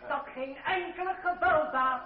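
A man's voice: extremely high pitch, moderate pace. Radio play: defiant male deputy, formal oratory, distant and muffled in an echoing chamber. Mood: resolute. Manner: rhetorical, sardonic, formal. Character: defiant, eloquent young revolutionary deputy addressing an assembly. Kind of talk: old radio drama oratory. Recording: dull old radio recording, echoey hall, crowd. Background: noisy assembly crowd.

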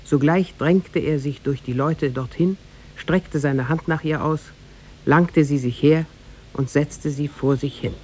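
A man narrating a tale in a 1950s German radio play, formal and measured. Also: a little high, a little mellow, slight background noise, natural voice. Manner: formal, measured storybook delivery.

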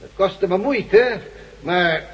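A man playing an earnest turncoat who justifies himself haltingly in a science-fiction radio drama. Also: high-pitched. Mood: earnest, hesitant. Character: male turncoat former captive, earnest, pleading, self-justifying. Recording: muffled old radio drama recording.

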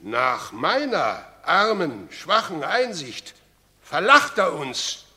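High, sharp-voiced Roman citizen. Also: male voice, very animated, slow pace, heavily acted. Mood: aggrieved. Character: aggrieved, outspoken common citizen of ancient Rome. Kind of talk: classic German radio drama, stage verse.

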